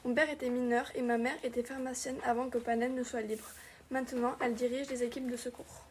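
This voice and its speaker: A woman's voice: fairly high pitch, level tone, a little fast, a little mellow, slight background noise, natural voice. A woman playing an earnest archer heroine.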